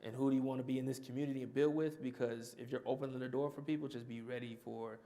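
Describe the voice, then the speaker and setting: Panelist, male, mid-pitch, off-the-cuff answer that restarts and circles back. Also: steady tone, quick pace, dark tone. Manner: conversational, restarts and repeats himself. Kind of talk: answering audience question.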